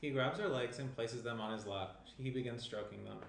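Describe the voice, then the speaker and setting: A man's voice: mid-range voice, brisk. A young man narrating screenplay stage directions at a table read. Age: young adult. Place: small room at a table. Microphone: shared tabletop microphone. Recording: roomy indoor sound, clear background noise.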